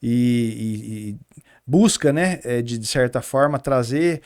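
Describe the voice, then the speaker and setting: A man interviewing a guest, speaking in a measured way with frequent fillers as he asks questions. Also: medium pitch, darkish tone. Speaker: interviewer from a social institute. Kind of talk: conversational interview.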